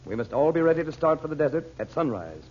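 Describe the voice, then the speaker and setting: A man playing a steady adult protector who guards a secret, in an earnest, firmly reassuring 1930s radio drama style. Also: on the high side, quick pace, loud music behind the voice. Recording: muffled old radio recording.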